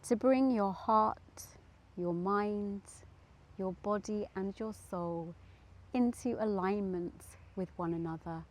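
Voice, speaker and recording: A woman leading a guided meditation in a gentle, soothing manner, leaving long pauses between short, deliberate phrases. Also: medium pitch.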